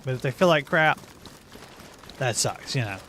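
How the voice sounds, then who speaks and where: A man's voice: mid-range voice, expressive, normal speed, bright, forceful tone, a little hiss or hum. A man livestreaming a game, talking in a rambling, folksy, informal way as he plays. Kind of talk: casual gaming commentary and chat.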